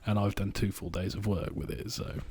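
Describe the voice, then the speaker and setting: A man hosting a casual podcast, hoarse from a cold, with blunt, sweary banter. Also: on the low side, level tone, a little fast, darkish tone. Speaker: podcast host. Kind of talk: casual chat.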